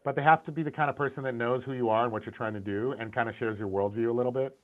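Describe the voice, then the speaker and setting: A middle-aged man in a casual interview speaks candidly and with energy, often restarting mid-sentence. His voice sits at an ordinary pitch. The sound is thin and tinny, like a video call over a phone line. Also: rapid-fire, a little hiss or hum. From Syrian, grew up in the US. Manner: candid, animated, frequent restarts and repeated words. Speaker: guest, concept art and animation director.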